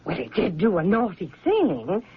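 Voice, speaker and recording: A woman's voice: medium pitch, huge pitch swings, moderate pace, breathy edge, heavily acted. An actress playing an indulgent older mother with a pleading, coaxing manner in a radio drama. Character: indulgent mother, soft on her grown son. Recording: tinny, band-limited old radio sound.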